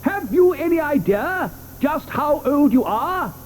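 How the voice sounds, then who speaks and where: An eccentric chocolatier: grandiloquent and fussy, with a high, very animated voice. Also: male voice, takes it slowly, slight background noise, exaggerated voice. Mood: pompous. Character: eccentric, fussy, flamboyant adult male chocolatier. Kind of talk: 1980s studio radio play acting.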